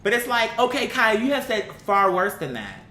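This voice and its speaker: A woman's voice: a little high, sing-song, moderate pace, pushed and bright. A woman giving blunt, opinionated casual commentary in an exasperated, emphatic way, swearing freely and arguing through rhetorical questions. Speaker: online commentator. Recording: echoey room with faint background noise.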